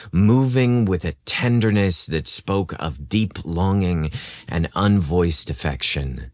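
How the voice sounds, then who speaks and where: Deep voiced guy reading a romance story, really slow n deliberate, dry sound. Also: dry recording. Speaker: podcast host and story narrator. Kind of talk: scripted romance narration.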